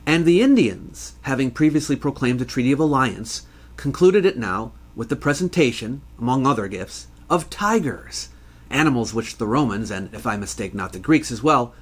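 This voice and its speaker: Measured man narrating history lesson. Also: medium pitch. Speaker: history educator and host. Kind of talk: scripted educational narration.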